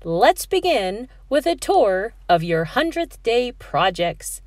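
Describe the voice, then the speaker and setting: A woman's voice: fairly high pitch, very animated, takes it slowly, dry recording, voice pushed up, exaggerated voice. A woman voicing a cheerful, encouraging female flamingo schoolteacher in a children's storybook. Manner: bright, encouraging teacherly exclamations.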